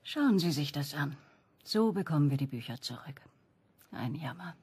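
An actress playing a kindly, fussy older library clerk in a crime radio play, chatty and wistful with a croaky voice. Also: female voice, deep voice, animated, normal speed, a little mellow, full character voice. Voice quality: croaky, husky. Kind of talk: German radio crime drama acting.

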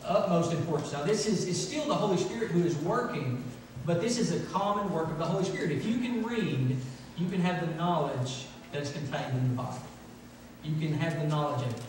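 A man's voice: a little high, lively intonation, brisk. A middle-aged man preaching a sermon, emphatically repeating phrases for stress. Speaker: pastor. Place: large church sanctuary, from the pulpit. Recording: echoey church hall, distant, faint noise.

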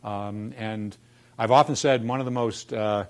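An older man with a Boston accent, his voice sitting a little low for a man. He tells dry, anecdotal stories to a room of colleagues and delivers his punchlines with a knowing wink. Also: fairly even intonation, unhurried, a little mellow. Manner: wry storyteller, quoting people with punchlines. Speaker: former dean giving a talk. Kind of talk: anecdotal lunchtime talk. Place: dark lecture room in front of chalkboards.

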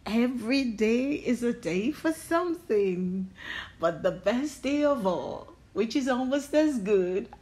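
A woman's voice: a little high, lively intonation, slow pace, a little mellow. A woman reading a storybook aloud to young children as host, in a playful, affectionate sing-song manner.

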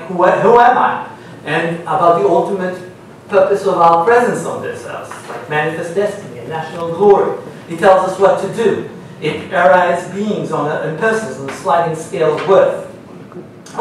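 A middle-aged man with an Israeli accent, giving a measured academic talk as a panelist at a university seminar table. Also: fairly high pitch, a little hiss or hum. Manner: measured, lecturing, reads quotations aloud. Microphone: gooseneck table mic. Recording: very echoey room, mic at a distance.

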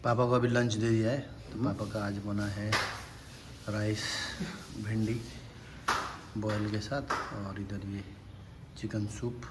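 A man in his thirties talks casually into a phone held at arm's length, giving a running account of the day to his viewers. His pitch stays fairly flat and even. Clear background noise runs under his voice. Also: slow pace, pauses often. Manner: chatty, addresses viewers directly, asks them to comment. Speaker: family vlogger. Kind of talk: casual vlog narration to viewers. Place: home and outdoor park.

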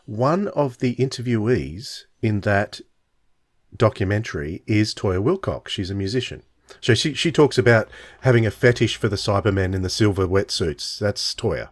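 A man's voice: lowish voice, animated, normal speed. A middle-aged man co-hosting a podcast, chatting casually and jokily as he gives recommendations into a close microphone. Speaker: podcast host. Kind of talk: casual chat, giving recommendations. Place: indoors on a video call. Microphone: large close microphone with headset.

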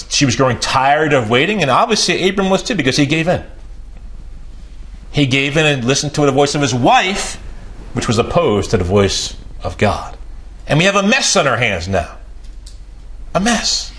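A man's voice: fairly bright tone, a little hiss or hum. A man in his forties preaching a sermon in a small room, emphatic and repeating key phrases for weight. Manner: emphatic, repeats key phrases, pitch rises and falls sharply.

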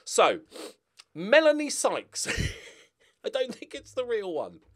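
A man in his forties chatting casually and humorously to viewers as a channel host, animated and jokey. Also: very high-pitched, slow pace. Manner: animated, jokey, sweary, chuckling. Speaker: YouTube gaming channel host. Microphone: desk condenser microphone up close.

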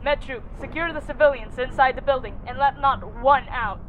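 A woman's voice: high voice, fairly quick, narrow, tinny audio, slight background noise, natural voice. A woman voicing a stern, commanding military squad leader giving battle orders in a game style.

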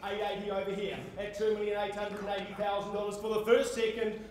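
High-pitched Australian male auctioneer, very echoey. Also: completely flat delivery, fast talker. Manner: theatrical, projecting to the room, formally courteous. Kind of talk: live property auction calling. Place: on stage in a large indoor conference hall. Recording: echoey conference hall with noise.

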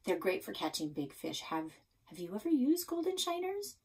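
A woman's voice: mid-range voice, big pitch swings, moderate pace, darkish tone, breathy edge. Woman voicing an emphatic, bossy young girl. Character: opinionated young girl who loves fishing, easily outraged.

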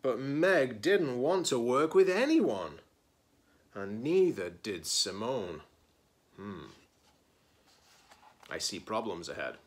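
A man's voice: medium pitch, big pitch swings, slow pace, long pauses. A man in his forties, a teacher-librarian, reading a children's picture book aloud expressively, stressing key words and adding casual asides.

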